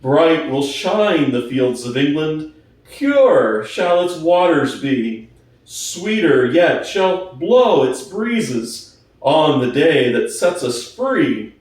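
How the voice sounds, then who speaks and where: A man voicing an aged, hoarse boar who gives solemn, fervent revolutionary speeches in a storybook reading, slowly and emphatically. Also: a little high, sing-song, heavily acted. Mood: rousing, hopeful. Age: older. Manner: slow, emphatic oratory with weighty pauses. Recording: distant, very echoey room.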